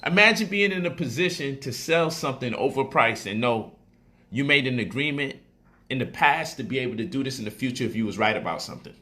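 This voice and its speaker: A man's voice: medium pitch, expressive, a little fast, pushed and bright. A man casually explaining stock options on a livestream, repeating phrases for emphasis and switching into loose street slang.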